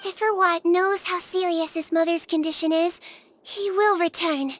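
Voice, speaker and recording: A woman voicing a small, childlike Melusine head nurse in a video game, gentle, earnest, polite and measured. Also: extremely high pitch, fairly even intonation, slight background noise. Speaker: nurse and doctor character.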